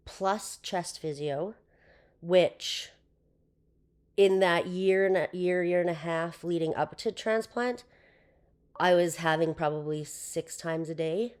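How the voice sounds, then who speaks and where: Guest: young woman, lowish hoarse voice, loose chatty delivery. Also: slow, darkish tone. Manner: chatty, with frequent fillers and laughs. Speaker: podcast guest. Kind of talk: casual interview chat.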